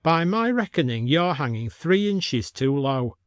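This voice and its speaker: A man's voice: on the high side, very animated, measured pace, dry recording, clean studio sound, voice pushed up. Officious village bobby, acted by a male narrator. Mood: pedantic. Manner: formal, pedantic, by the book. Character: officious, pedantic village police constable.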